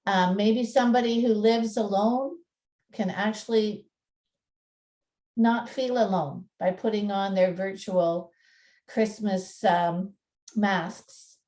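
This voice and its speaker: A woman's voice: mid-range voice, slow pace, dark tone, far from the mic. A woman presenting an informal talk in a chatty, self-deprecating manner. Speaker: museum presenter and radio play narrator. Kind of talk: informal talk with slides and dramatic reading.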